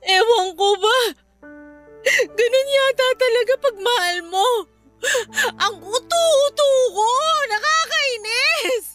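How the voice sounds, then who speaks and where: High-pitched, heartbroken young Filipina. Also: female voice, slow pace, fairly bright tone, faint music behind, full character voice. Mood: tearful, hurt. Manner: emotional, wailing delivery. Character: heartbroken, furious young woman betrayed by her boyfriend. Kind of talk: Filipino radio drama acting.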